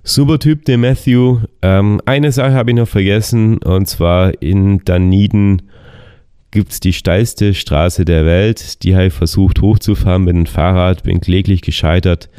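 A man's voice: low voice, fairly even intonation, unhurried, warm tone, clear, resonant tone, dry recording, clean studio sound. A young man recounting his bicycle trip in a casual, rambling way, with many fillers and restarts while he gets over the flu. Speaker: travel vlogger on a bicycle tour. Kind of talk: casual spoken travel diary.

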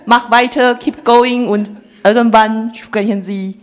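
A woman whose first language is Chinese speaks German in an encouraging, relaxed way, with fillers. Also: on the high side, slow.

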